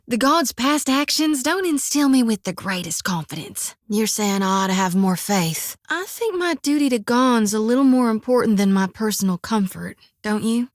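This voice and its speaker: An actress voicing a devout, fiery young priestess in a video game, with a folksy drawl and country sayings, recorded on a dry studio mic. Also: female voice, on the high side, dry recording, lightly acted. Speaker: game companion character.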